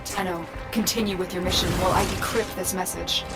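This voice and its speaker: A woman playing a composed, caring mission commander in a video game, giving calm, clipped instructions. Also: fairly low pitch, a little fast, close to an everyday voice. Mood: composed, instructive. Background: prominent music.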